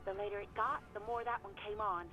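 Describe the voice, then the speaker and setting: A woman's voice: medium pitch, steady tone, fast, music in the background, close to an everyday voice. An actress voicing a young woman barkeep in a game, talking on the phone, reproachful and hesitant.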